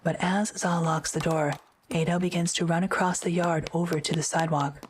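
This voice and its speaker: Woman, audio drama narrator, very low pitch, flat intonation, matter-of-fact, measured. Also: slight background noise.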